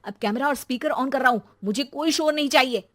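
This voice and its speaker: A woman's voice: high voice, fast, a bit bright. A woman voicing an irritated, teasing husband and father in a cartoon story, complaining and bantering drily. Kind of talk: animated cartoon story voice acting.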